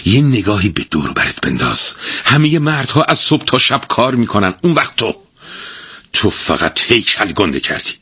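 A man's voice: on the low side, very animated, husky, dry recording, pitched above the performer's own voice, exaggerated voice. A man voicing an overworked, exasperated wife in a storybook folk tale, in a raised, scolding voice. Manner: pleading then shouting, scolding. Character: weary, exasperated, nagging wife. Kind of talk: storybook reading.

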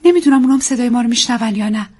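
A woman voicing an excitable, anxious young housewife who talks in high, urgent exclamations in a story reading. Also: breathy edge. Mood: insistent.

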